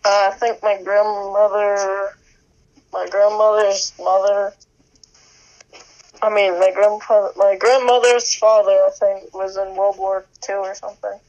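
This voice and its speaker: A man's voice: high voice, level tone, measured pace, darkish tone. A young man in a casual chat among friends who sounds distracted and hesitant. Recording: dull lo-fi sound.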